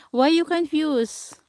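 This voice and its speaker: Slow-talking Filipina woman chatting on a livestream. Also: sits high, a little mellow, a little hiss or hum. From Philippines. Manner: chatty, reads and answers viewer comments, laughs often. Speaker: livestream host. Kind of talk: casual livestream chat with viewers. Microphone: camera or phone mic set a short way off.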